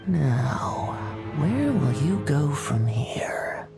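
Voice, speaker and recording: A woman voicing a menacing, mocking huntress in a video game, drawn-out and taunting. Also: sits low, big pitch swings, gravelly, music in the background, full character voice. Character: menacing, mocking female hunter toying with prey.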